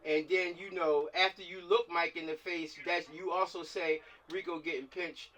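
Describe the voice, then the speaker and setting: A man's voice: on the high side, fairly even intonation, normal speed, fairly bright tone. A man chatting casually and directing actors over a video call, slangy and often repeating himself for emphasis. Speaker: filmmaker leading a script table read. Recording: distant mic on video call.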